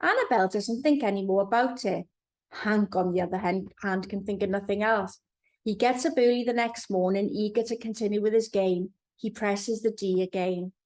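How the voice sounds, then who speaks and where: A woman narrating a storybook for young children in an expressive storytelling manner. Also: medium pitch, moderate pace. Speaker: author reading own children's book.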